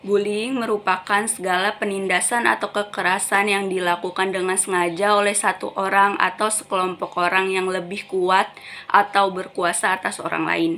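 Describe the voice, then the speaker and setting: A woman in her twenties, answering an interviewer in a formal, measured way. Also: medium pitch, level tone, sharp tone, a little hiss or hum. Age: 20s.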